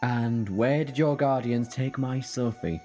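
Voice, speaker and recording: A man playing an eccentric, quick and cheeky alien time traveller in a fan sci-fi audio drama, with a chatty, flippant manner. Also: medium pitch, dark tone, soft background music, lightly acted. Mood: alarmed, demanding.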